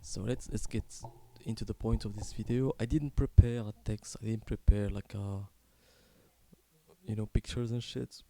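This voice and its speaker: A man in his twenties from Morocco tells a personal story unscripted, in a halting, candid, casually sweary way. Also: fairly low pitch, steady tone, vocal fry, dark tone, no room sound. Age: 20s. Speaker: video creator telling his life story.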